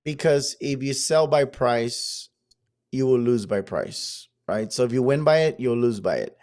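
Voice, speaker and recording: A man's voice: medium pitch, animated, a little slow, a little mellow. A man, a wedding photographer guest, talking casually on a podcast in a laid-back, slangy way. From Mexico. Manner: laid-back, slangy, says dude and bro.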